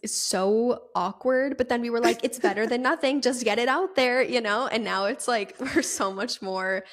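A woman's voice: on the high side, normal speed. A woman in her mid-twenties co-hosting a podcast, rambling casually with many "like"s and frequent giggles. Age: 20s. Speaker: podcast co-host. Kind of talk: casual chat.

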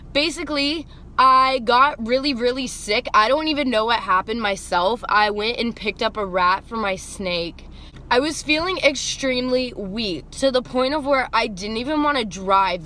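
A woman's voice: medium pitch, a bit bright. A young woman with an American accent chatting casually and rambling in a sassy, foul-mouthed way while driving, recorded inside a moving car. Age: young adult. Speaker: vlogger. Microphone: camera on the dashboard, arm's length away. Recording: noisy car interior, road noise.

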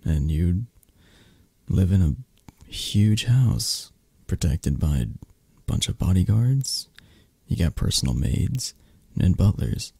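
A man acts out a possessive, flirty mafia boss in an intimate ASMR roleplay. His voice is very dark and mellow, breathy and husky, with a gravelly creak. He murmurs soft reassurance to his lover, doting and protective. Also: low voice, sing-song, takes it slowly, no room sound, heavily acted. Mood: fond.

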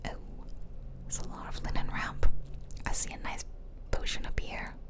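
A woman whispering playful, rambling ASMR commentary over a video game, close to the microphone. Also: moderate pace. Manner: playful, self-deprecating, rambling asides. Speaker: game streamer, ASMR creator. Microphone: close ear-to-ear ASMR microphone.

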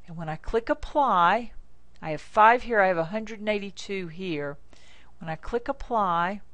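An American woman demonstrating software step by step, speaking informally in short, deliberate instructional phrases. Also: mid-range voice, no echo. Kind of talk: step-by-step screencast tutorial narration.

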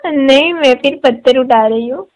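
Higher-pitched woman on a phone call. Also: moderate pace. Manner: playful, teasing. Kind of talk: casual phone chat. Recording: dull lo-fi phone call recording.

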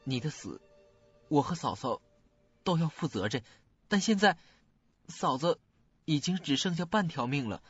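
A haunted young student in a radio thriller. The voice is fairly high and husky, with halting, dramatic phrasing. The recording is dull and lo-fi. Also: male voice, lively intonation, no room sound. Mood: pleading, fearful. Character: guilt-ridden, bitter student living with mother, haunted by calls. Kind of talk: suspense radio drama acting. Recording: dull lo-fi audio, faint music.

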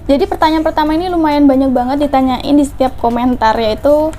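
Girl in her twenties from central java, high voice, chatty q&a with viewers, clip-on mic at home. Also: fast, dark tone, faint music behind. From Central Java, Indonesia. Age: 20s. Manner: chatty, often tags lines with "guys". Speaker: mukbang vlogger. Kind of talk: casual Q&A chat to viewers. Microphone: clip-on lapel mic.

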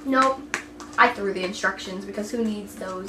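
A woman's voice: medium pitch, normal speed, distant mic. A young woman hosting a playful, chatty cooking demonstration in a goofy, teasing, giggly manner. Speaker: vlogger hosting a cooking video. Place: kitchen. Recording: echoey, noisy room, off mic.

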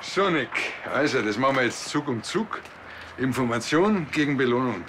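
A man's voice: medium pitch, lively intonation, normal speed, fairly bright tone, reverberant, distant mic, slight background noise, natural voice. A man playing a wry, colloquial small-town policeman with a Bavarian accent in a TV crime comedy. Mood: dry, casual. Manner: dry, colloquial. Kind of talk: naturalistic TV crime comedy acting.

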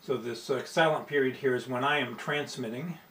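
A man in his sixties with an American accent casually narrates an amateur radio demonstration in a halting, unscripted way. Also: medium pitch. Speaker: amateur radio operator and video host. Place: small home radio room, indoors. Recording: roomy, loud background radio noise. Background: loud radio hiss and signal tones.

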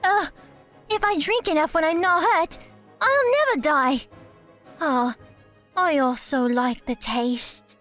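A woman's voice: high-pitched, slow pace, pauses often, a bit bright, faint music behind. A woman voicing a greedy, simple-minded little kobold in an audio drama. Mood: eager.

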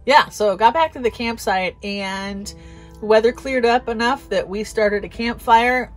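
A woman's voice: a little high, a little slow. American woman chatting over music. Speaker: vlog host. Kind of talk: casual conversational trip recap. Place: inside a small pop-up camper.

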